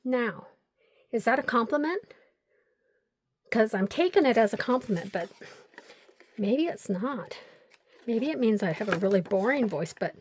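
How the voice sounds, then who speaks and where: A woman's voice: medium pitch, a little mellow, a little hiss or hum. An older woman hosting a crafting vlog, chatting and rambling through stories while she glues paper pieces. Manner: talks to herself while gluing. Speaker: crafting channel host.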